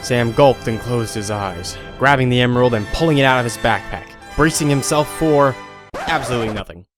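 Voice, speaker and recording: A man's voice: medium pitch, a little fast, music in the background. A man reading scripted story narration as the narrator of an audio drama.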